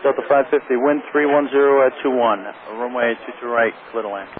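A man's voice: mid-range voice, brisk, phone-quality sound, crowd noise. A man working as an air traffic controller, giving clipped, businesslike instructions over radio. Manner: procedural, businesslike.

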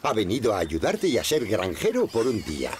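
A man playing a kindly, patient older neighbour in a children's cartoon, with a Castilian Spanish accent and gentle, encouraging manner. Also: on the low side, huge pitch swings, a little fast, a little hiss or hum.

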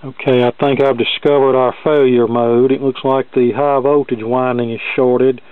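A man talking through a hands-on repair step by step in a plain-spoken, explanatory and measured way. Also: mid-range voice, little pitch movement, smooth. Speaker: hobbyist radio repair demonstrator. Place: indoor cluttered electronics workbench. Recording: narrow-band, dull phone-like sound.

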